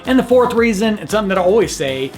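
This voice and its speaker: A chatty middle-aged man from Colorado talks straight to the camera in a loose, joking way, stumbling and restarting now and then. His voice sits a little higher than most men's. Soft music plays underneath. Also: expressive, quick pace. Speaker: real estate host of a city channel. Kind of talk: casual talking-to-camera video.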